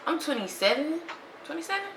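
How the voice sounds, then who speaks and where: A young woman talks straight into the mic, bright and bold. She speaks in a slangy, animated way. The room gives her voice an echo, with a faint hiss underneath. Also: sits high, brisk. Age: 20s. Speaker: podcast host. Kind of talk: casual solo monologue. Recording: echoey room with faint noise.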